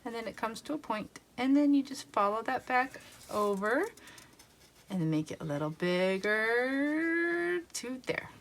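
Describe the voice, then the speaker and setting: A woman's voice: a little high, lively intonation, measured pace. Woman patiently guiding a drawing lesson. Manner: patient, step-by-step instructions. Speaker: art teacher. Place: home art studio.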